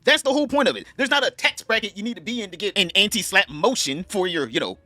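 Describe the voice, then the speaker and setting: A man co-hosting a stream, ranting in a mocking, animated way and swearing. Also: high-pitched, fast talker, no echo. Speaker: stream co-host. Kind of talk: heated reaction commentary.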